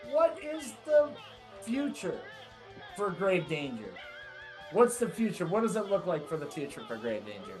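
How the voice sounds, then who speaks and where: A man interviewing a band singer, asking eager, halting questions with many restarts. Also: high-pitched, wildly swooping pitch, soft background music, low-quality recording. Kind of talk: casual enthusiastic interview.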